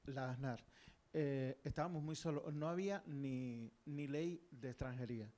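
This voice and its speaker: A man's voice: mid-range voice, a little slow, warm tone. An older man from Cuba, speaking earnestly from the audience at a panel, with many fillers and restarts. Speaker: audience member, historian. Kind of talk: public panel discussion.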